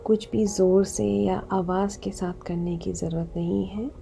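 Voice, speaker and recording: A woman leading a guided meditation in a soothing, unhurried manner, leaving long pauses between short instructions. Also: mid-range voice, steady tone, vocal fry. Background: background music.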